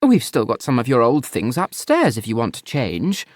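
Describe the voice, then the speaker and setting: A man reading an audiobook and voicing a genteel, fussing older mother, slow and cloying. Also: medium pitch, wildly swooping pitch, no room sound, studio quality.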